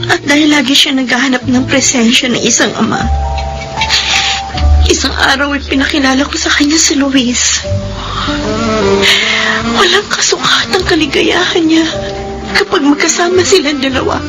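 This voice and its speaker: A very high, tearful Filipino mother's voice, acted by a woman for a radio drama. She is pleading, guilt-ridden and melodramatic. Music plays clearly beneath her. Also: moderate pace, pauses often, natural voice, low-quality recording. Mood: sorrowful confession. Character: anguished mother of a sick child, prayerful and guilt-ridden. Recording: music under the voice.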